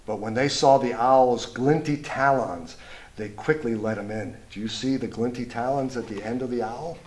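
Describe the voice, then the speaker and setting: A man reads a children's picture book aloud in an expressive storytime style, pausing to point things out and ask young listeners questions. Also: medium pitch, slight background noise. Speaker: storybook reader, school superintendent.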